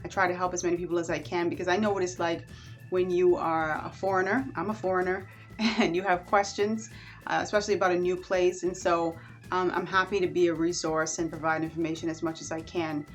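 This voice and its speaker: A Bahamian woman talks straight to her viewers in a chatty, animated vlog. Her voice sits a little low for a woman. Faint music plays behind her. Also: brisk. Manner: chatty and animated, with warm asides. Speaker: vlogger. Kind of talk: casual chat to camera.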